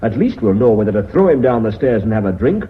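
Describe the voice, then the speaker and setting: Blunt British board member, muffled recording. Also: male voice, on the low side, big pitch swings, quick pace. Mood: impatient. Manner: outspoken, dry. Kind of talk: British radio drama acting. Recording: dull, hissy old radio recording.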